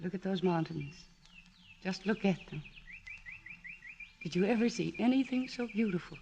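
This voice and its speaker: A woman's voice: mid-range voice, lively intonation, normal speed, gravelly, mellow, slight background noise. An actress playing a reserved, clinical woman psychiatrist in a 1940s radio drama, earnest, formal and precise in speech. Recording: old radio broadcast, music behind.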